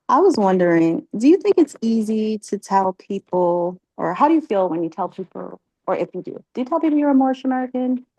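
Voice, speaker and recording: A woman leading a religious class, asking catechism questions and encouraging her students, often pausing with ums. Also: mid-range voice, a little mellow, no echo, rough recording. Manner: encouraging, halting with many ums.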